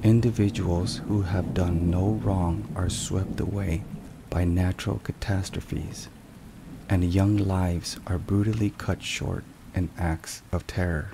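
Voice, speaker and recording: A man giving a scripted, solemn ASMR devotional narration in a soft, measured and deliberate way. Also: low voice, creaky. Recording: steady rain and thunderstorm noise. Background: rain and thunder.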